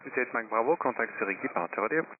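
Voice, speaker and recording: Rapid-fire male tower controller on radio. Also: mid-range voice, lively intonation. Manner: procedural, reeling off numbers. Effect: radio transmission. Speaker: air traffic tower controller. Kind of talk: clipped air traffic control instructions. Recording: narrow, staticky air traffic radio channel.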